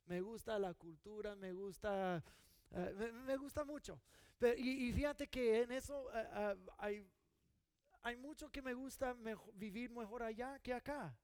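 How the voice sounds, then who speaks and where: A man's voice: extremely high pitch, measured pace, a little mellow, dry recording. A middle-aged man from California preaching a sermon in an earnest, conversational way, often restarting his sentences. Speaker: pastor.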